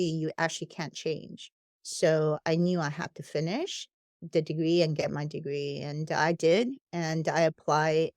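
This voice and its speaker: A woman's voice: sits low, a little mellow, dry recording, studio quality. A woman with a non-native English accent, a company founder speaking frankly and laughing easily as a podcast guest. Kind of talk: casual podcast conversation.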